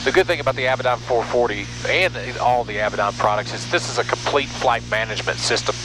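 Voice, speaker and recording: A man's voice: mid-range voice, quick pace, over music, poor audio. A middle-aged American man chatting and explaining casually as he flies, talking into an aviation headset. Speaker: pilot and vlog host. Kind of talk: casual explanatory vlog talk while flying. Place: cockpit of small propeller airplane. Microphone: aviation headset mic. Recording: loud aircraft engine hum, headset intercom.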